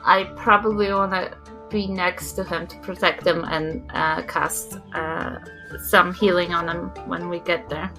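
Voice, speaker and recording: A woman's voice: fairly low pitch, steady tone, a little slow, music in the background, rough recording. A woman with a New Zealand accent, playing a tabletop game and thinking aloud hesitantly about her moves. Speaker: player. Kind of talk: casual tabletop play.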